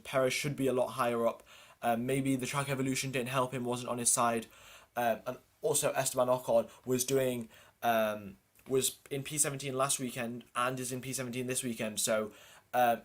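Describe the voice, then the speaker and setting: Man doing live race commentary. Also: medium pitch. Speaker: livestream host and commentator.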